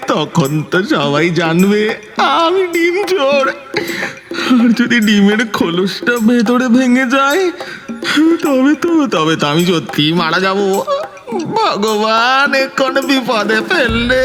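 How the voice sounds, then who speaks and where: A man's voice: very high-pitched, sing-song, slow, a bit bright, soft background music, heavily acted. A man playing a panicky, cowardly young son-in-law in a comedy audio drama, wailing and muttering melodramatically. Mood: panicked despair.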